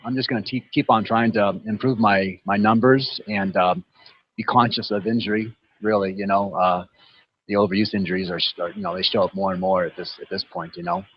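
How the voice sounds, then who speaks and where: A man's voice: lowish voice, a little fast, breathy edge, no echo. Fifties man chatting casually on a panel. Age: 50s. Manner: casual, lots of "you know" and "right". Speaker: athlete guest. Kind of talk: panel discussion answer. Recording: dull dry audio.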